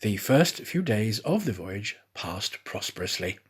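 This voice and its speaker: Guy narrating an old novel, really animated intonation, bit of husk in his voice, theatrical storytime reading. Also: fairly low pitch, unhurried, slightly rough. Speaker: storyteller, audiobook reader. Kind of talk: scripted narration of a classic novel.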